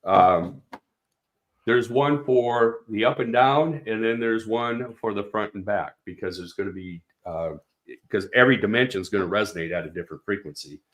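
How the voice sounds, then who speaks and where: A man's voice: on the low side, normal speed, a bit bright, roomy sound. A man representing a product company, explaining speaker design in a casual technical walkthrough with many fillers and restarts.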